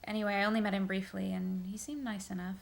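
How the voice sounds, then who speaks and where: A woman's voice: mid-range voice, little pitch movement, fast, gravelly, close to an everyday voice. A woman playing an easygoing young city woman, talking casually and a little hesitantly, in a matter-of-fact way. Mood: unsure.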